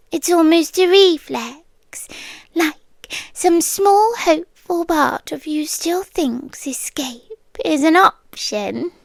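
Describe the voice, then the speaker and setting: A British woman playing a possessive, softly menacing yandere girlfriend in an ASMR roleplay, breathy and whispery, sweetly condescending, close on the mic. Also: high-pitched, no room sound, exaggerated voice. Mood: amused, menacing. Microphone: close microphone.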